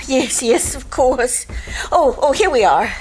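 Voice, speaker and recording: A woman's voice: sits high, lively intonation, slow pace, faint music behind. A woman acting an anxious, ladylike wealthy client in a radio drama, stammering and repeating her words. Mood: eager, nervous.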